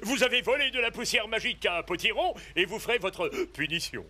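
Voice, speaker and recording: A stern cartoon policeman in a French children's show. The voice is unusually high and sharp, swinging up and down as he blusters pompously. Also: animated, fast, heavily acted. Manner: pompous, exclamatory.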